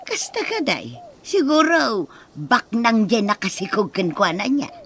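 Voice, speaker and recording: An actress playing a nosy, exclamatory village gossip in an Ilocano radio drama. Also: female voice, medium pitch, very animated, unhurried, a bit bright, slight background noise. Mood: scandalized, gossipy.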